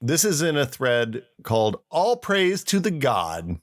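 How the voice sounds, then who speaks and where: A man's voice: mid-range voice, very animated, unhurried. A man in his forties co-hosting a podcast, chatting casually with a teasing, inquisitive manner. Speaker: podcast co-host. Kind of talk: casual chat.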